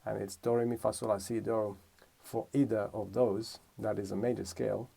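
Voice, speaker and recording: A man's voice: fairly low pitch, flat delivery, normal speed, vocal fry, noisy background. A man from Romania giving a casual music lesson, thinking aloud and correcting himself as he explains. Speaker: music teacher.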